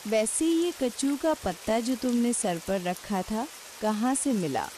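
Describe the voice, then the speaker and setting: A woman's voice: a little high, expressive, measured pace, dark tone. A woman voicing a stubborn, bossy but homely village wife in a cartoon story, insistent and given to scolding. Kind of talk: cartoon story dialogue acting. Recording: hiss with rain and sizzle noise.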